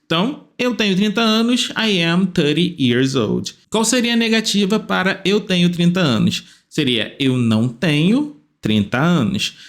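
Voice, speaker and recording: Male English teacher, slightly high voice, drawn-out patient delivery, repeats each phrase. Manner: repeats phrases slowly, stretching words, lively rising questions. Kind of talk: slow step-by-step grammar lesson.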